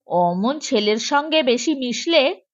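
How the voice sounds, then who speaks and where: A woman narrating a humorous short story in an expressive, animated storytelling manner. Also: high-pitched, slow, muffled recording. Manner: animated, acts out the dialogue.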